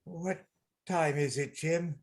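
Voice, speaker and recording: Man playing a gravelly, haunted old pirate captain. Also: on the high side, fairly even intonation, a little hiss or hum. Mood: weak, desperate. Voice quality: gravelly, husky. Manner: slow, brooding, salty sailor talk. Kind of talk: radio play reading.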